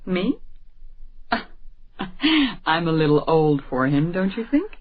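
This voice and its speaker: A woman's voice: on the low side, sing-song, takes it slowly, narrow, tinny audio, heavily acted. An actress playing a world-weary middle-aged mother in a radio drama, with a sardonic, teasing manner. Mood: wry, teasing.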